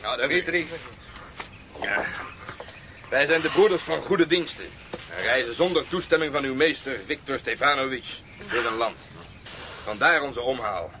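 An actor playing the composed eldest of travelling brothers in a radio drama, calm, courteous and practical. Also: male voice, mid-range voice, normal speed, pauses often, background noise, natural voice. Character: eldest travelling brother, composed leader who keeps bees.

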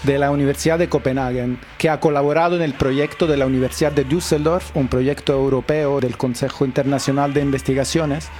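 A man's voice: medium pitch, steady tone, a little fast, few pauses, a little mellow, soft background music. A man hosting a radio show, introducing guests in a chatty, joking way. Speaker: radio host. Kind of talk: on-air radio interview.

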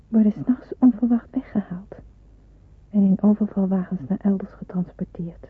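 An earnest, deferential teenage girl nurse in a radio drama. Her voice is veiled and very breathy, with a meek, hesitant delivery. The old recording is thin and narrow, like a telephone. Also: mid-range voice. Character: eager, deferential seventeen-year-old girl, aspiring wartime nurse. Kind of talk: radio play acting with narration.

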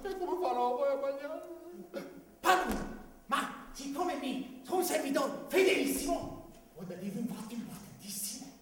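A woman's voice: high-pitched, animated, normal speed. A woman playing Arlecchino's part as a clownish, hungry, pleading male servant in a stage comedy. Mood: naive, whining. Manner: exclamatory, wheedling, simple-minded. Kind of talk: commedia dell'arte stage comedy. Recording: roomy, distant, noisy stage recording.

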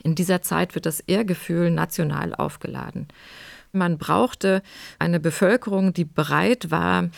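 A woman's voice: lowish voice, creaky, no echo, clean studio sound. A woman giving explanatory, analytical commentary as a history expert, in academic wording.

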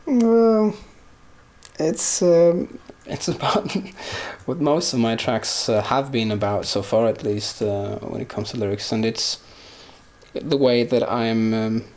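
A man's voice: mid-range voice, lively intonation, slow pace, a little mellow. A man whose first language is Swedish, a musician giving casual, reflective interview answers as he searches for his words. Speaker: musician guest.

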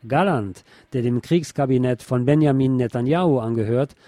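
A man's voice: mid-range voice, moderate pace, mellow, no room sound, clean studio sound. A man with a standard German accent reading scripted news as a radio news reader.

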